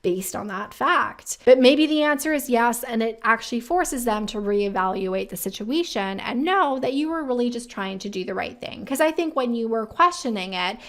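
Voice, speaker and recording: Emphatic, higher-pitched woman giving career commentary. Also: lively intonation, moderate pace, few pauses, a bit bright. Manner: emphatic, conversational, rhetorical questions. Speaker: career advice video host. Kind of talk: casual commentary reacting to viewer-submitted quotes.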